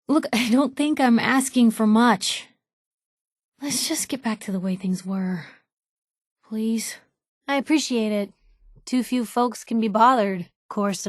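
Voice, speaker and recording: A woman playing a wry, spirited young reporter who travels as a companion in a video game, with a sardonic, chatty and expressive delivery. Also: a little high, no echo, studio quality, natural voice. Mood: sincere. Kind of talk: acted game dialogue lines.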